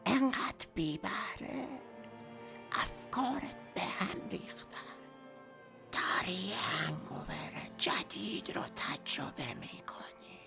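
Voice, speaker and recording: A woman voicing a mocking female voice inside a detective's head in a fan game dub, whispering raspily in a teasing, sardonic way. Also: mid-range voice, sharp tone, full character voice. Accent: Persian. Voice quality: raspy whisper.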